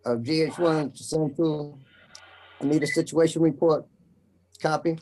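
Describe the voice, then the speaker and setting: A man practicing formal emergency radio procedure, announcing scripted messages in a deliberate way as a trainee net controller. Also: on the high side, steady tone, warm tone, poor audio. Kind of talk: scripted radio procedure drill with call signs.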